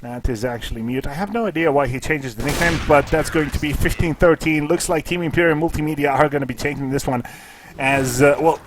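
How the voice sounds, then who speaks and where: A man casting a live esports match, excitable at big plays, with casual, jokey asides. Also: a little fast, crowd noise. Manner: excitable, rises in pitch and volume at big plays. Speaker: esports caster. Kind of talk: live play-by-play commentary.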